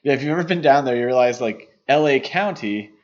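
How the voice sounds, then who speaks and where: A man's voice: mid-range voice, animated, fairly quick. A man co-hosting a podcast, talking through film history in a conversational way. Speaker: podcast host. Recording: dull, lo-fi podcast audio.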